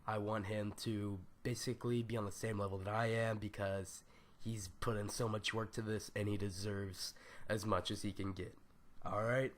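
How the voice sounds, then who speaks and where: Raspy, stuffed-up young man, rambling casually. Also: on the low side. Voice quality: scratchy. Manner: loose, rambling, with many ums and slang. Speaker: gaming tutorial youtuber. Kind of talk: casual screen-recorded tutorial.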